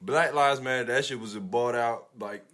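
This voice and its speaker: An American man co-hosting a podcast, giving casual opinions in a halting, slangy way and often repeating his opening words. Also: medium pitch, a bit bright. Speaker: podcast co-host.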